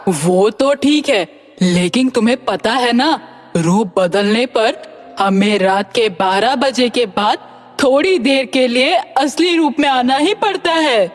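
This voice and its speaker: A woman voicing a restless female witch ghost in an animated story. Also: on the high side, slow pace. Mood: wary. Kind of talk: animated Hindi story dialogue. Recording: long hall reverb, music underneath.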